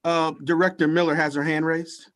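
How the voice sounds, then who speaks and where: A man's voice: on the high side, little pitch movement, a little fast, a bit bright. A man serving as a meeting clerk, making formal announcements and roll calls. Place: virtual meeting call.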